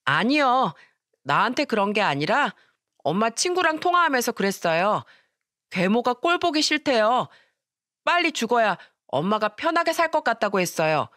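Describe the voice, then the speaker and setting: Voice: medium pitch, very animated, normal speed, bright, forceful tone, dry recording, clean studio sound, voice pushed up. A narrator voicing an innocent seven-year-old boy telling tales to his grandmother. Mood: innocent, chatty.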